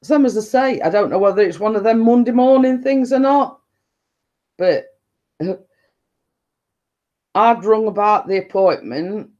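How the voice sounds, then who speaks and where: Slow, deep-toned woman chatting. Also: expressive, a little mellow, dull audio. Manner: chatty, meandering, repeats stock phrases and laughs often. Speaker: live streamer chatting with viewers. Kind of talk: rambling casual chat.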